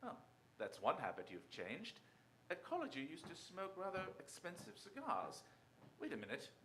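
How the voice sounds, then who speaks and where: An actor playing a suave, calculating former tennis star in a radio drama, with an affable, glib, urbane manner. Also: male voice, high-pitched, huge pitch swings, moderate pace, background noise, heavily acted. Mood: hearty, genial. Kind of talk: stage radio-drama acting.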